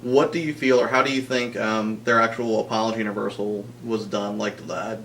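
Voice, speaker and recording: A man's voice: lowish voice, flat delivery, brisk, a bit bright. A man co-hosting a podcast, discussing games in a casual conversational way. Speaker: podcast co-host. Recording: distant mic, noisy humming recording.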